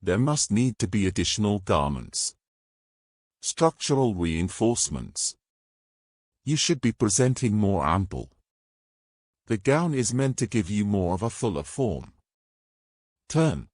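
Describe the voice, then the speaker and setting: A man playing a greedy, imperious male adventurer with brisk old-fashioned speech in a fantasy audio story. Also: on the low side, very animated, darkish tone, dry recording, clean studio sound. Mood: impatient, commanding.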